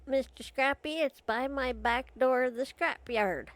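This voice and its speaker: A woman's voice: high voice, a little slow, dry recording. A woman playing a polite, eager, naive character with a formal manner in an old time radio drama. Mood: earnest. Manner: formal, addresses everyone properly.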